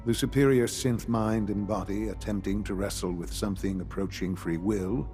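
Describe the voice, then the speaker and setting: A man playing a measured, visionary leader of a science institute in a video game, formal and earnest. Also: fairly low pitch, fairly even intonation, dark tone, soft background music, lightly acted. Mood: measured, solemn. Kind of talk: scripted game dialogue.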